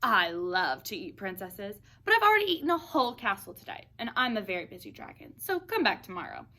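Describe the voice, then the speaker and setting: A woman's voice: medium pitch, very animated, a little fast, bright, forceful tone. A woman voicing a boastful, self-important male dragon in a children's storybook read-aloud. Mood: smug, dismissive. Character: busy, boastful male dragon who loves eating princesses.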